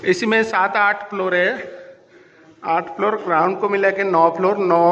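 A man's voice: sits high, fairly bright tone, big-room sound, dull audio, a little hiss or hum. A man giving a casual walkthrough of his cable tray work in a large indoor server room, explaining step by step while filming on a handheld phone. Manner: explanatory, pointing things out step by step. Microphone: handheld phone camera.

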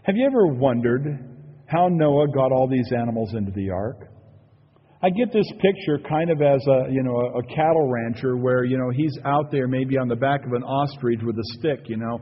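A man's voice: medium pitch, expressive, moderate pace, slightly rough, in a large hall, muffled recording. A male pastor giving an earnest, conversational sermon, often saying "you know". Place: church sanctuary.

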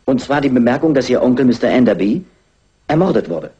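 Husky, dry police inspector. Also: male voice, medium pitch, expressive, fast, mellow, highs cut off. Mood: insistent, official. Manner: dry, sardonic, officially polite. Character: dry, sceptical, persistent male police inspector. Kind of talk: German radio crime drama acting.

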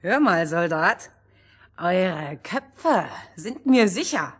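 Eine Schauspielerin spielt in einem Märchenhörspiel eine arrogante, verwöhnte junge Prinzessin, hochnäsig und gedehnt. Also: female voice, lowish voice, expressive, very slow, husky, heavily acted. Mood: boastful. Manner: haughty, drawling. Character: arrogant, spoiled young princess, fastest runner alive. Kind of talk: radio play fairy tale acting.

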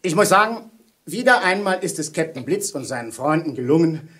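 An actor playing a hearty, boisterous police inspector in a children's audio drama. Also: male voice, fairly high pitch, lively intonation, a little fast, crowd noise, close to an everyday voice. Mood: pleased, formal.

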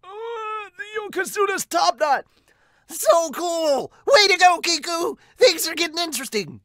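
A man voicing an excitable young sidekick in an amateur manga dub, cheering in a high, shouty, gleeful voice. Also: unhurried, no echo, clean studio sound, full character voice. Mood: excited.

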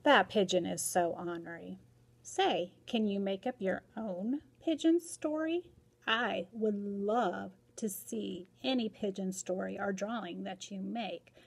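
Slow, expressive woman reading to children. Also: mid-range voice, gravelly, breathy edge. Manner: measured, emphatic. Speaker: school librarian and storyteller. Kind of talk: expressive read-aloud narration for children.

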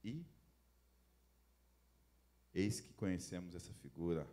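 A man's voice: deep voice, lively intonation. A man addressing the audience from a concert stage on a handheld mic, earnest and thankful. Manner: earnest, thankful, slowing down at times. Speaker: band member speaking from stage. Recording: live concert sound with loud music. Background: live band playing.

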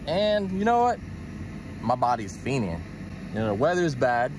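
Guy in his 40s vlogging his fishing trip, talking to the camera at arm's length outside, kinda out of breath, mid-pitch voice. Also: big pitch swings, normal speed, noisy background. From South Florida. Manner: chatty, self-talking, upbeat. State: out of breath from heat. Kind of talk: casual outdoor vlog. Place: outdoors by a canal and in a truck. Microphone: handheld camera at arm's length.

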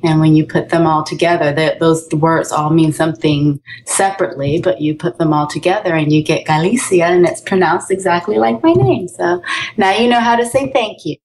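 A woman guest on a podcast chatting casually, bubbly and quick to laugh. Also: sits low, a little mellow, rough recording. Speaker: guest actor. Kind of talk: casual chat over a call.

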